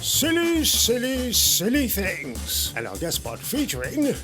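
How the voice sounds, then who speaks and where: A male announcer reads show jingles slowly, with very lively pitch movement. Music plays clearly behind the voice.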